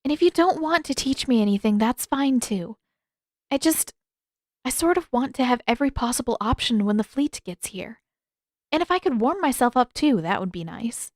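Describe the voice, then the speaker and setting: A woman voicing an impatient, playful teenage girl with an expressive, informal delivery, as in an audiobook drama. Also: on the high side, moderate pace, no room sound, clean studio sound, close to an everyday voice. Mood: earnest, teasing. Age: teenage character voiced by adult.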